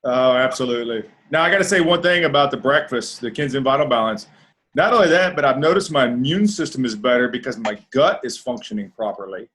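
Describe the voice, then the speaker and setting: A man's voice: medium pitch, expressive, fairly quick, fairly bright tone. Upbeat male panel host. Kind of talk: casual panel chat.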